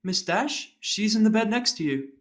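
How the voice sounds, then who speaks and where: A man's voice: high-pitched, animated, normal speed, pitched above the performer's own voice. A man voicing a polite, timid female nurse pony, earnest and deferential, in an amateur audio drama. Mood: careful, nervous. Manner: deferential, explanatory.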